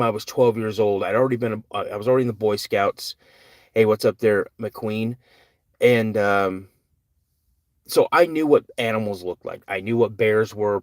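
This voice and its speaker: A man's voice: medium pitch, no room sound. An older man hosting a live podcast, reading and answering chat questions in a rambling, earnest, self-correcting way. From United States. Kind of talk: casual live-stream chat.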